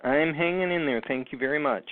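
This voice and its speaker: A man hosting a call-in radio talk show, speaking slowly with frequent ums and pauses. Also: a little high, no room sound. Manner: slow, halting, many ums. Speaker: host. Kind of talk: casual radio chat. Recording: tinny phone line, dry.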